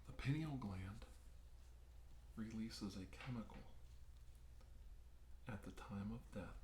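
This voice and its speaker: A man playing an unhurried doctor in an ASMR roleplay, speaking softly in deliberate, halting phrases that often drop into a whisper. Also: on the low side. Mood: calm, grave. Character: unhurried doctor examining an abductee, explaining alien plans. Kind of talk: ASMR roleplay, soft-spoken monologue. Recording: roomy echo with background noise.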